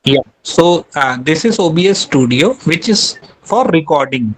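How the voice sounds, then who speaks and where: A man's voice: a little high, expressive, a little slow, low-quality recording. A man giving a webinar talk, hesitant, with many fillers and restarts. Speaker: guest speaker.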